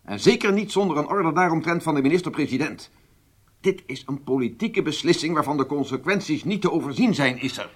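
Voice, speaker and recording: An actor playing a worried, cautious senior government official in a Dutch radio drama, with formal, measured phrasing. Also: male voice, on the high side, lively intonation, slightly rough. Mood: disapproving.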